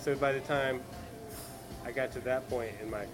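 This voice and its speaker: Man, southern georgia accent, easygoing, rambling, mid-pitched, music playing behind. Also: steady tone, normal speed. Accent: Southern US, Valdosta Georgia. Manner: easygoing, rambling with fillers and restarts. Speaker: guest author and musician. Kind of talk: casual chat.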